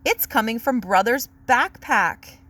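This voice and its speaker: A woman's voice: a little high, lively intonation, measured pace, a bit bright. A woman voicing a suspicious, no-nonsense mother bear from a picture book in a pointed, emphatic way. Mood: pointed, disapproving.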